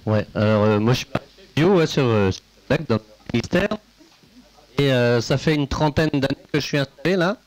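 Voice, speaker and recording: A middle-aged man, an organic farmer on a panel, speaking haltingly as he searches for words. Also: medium pitch, rough recording.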